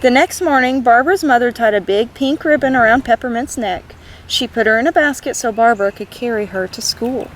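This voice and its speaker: A woman reading a storybook aloud to children in an expressive, gentle way, as a read-aloud host. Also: on the high side, brisk, a little hiss or hum.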